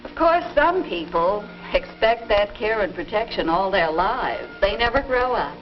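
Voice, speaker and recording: A dull, vintage film soundtrack with music carries a middle-aged American mother. She is gentle and patient, explaining things to her daughter in a very expressive, rising and falling voice. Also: mid-range voice, measured pace. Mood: patient, explanatory. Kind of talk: 1950s instructional film acting.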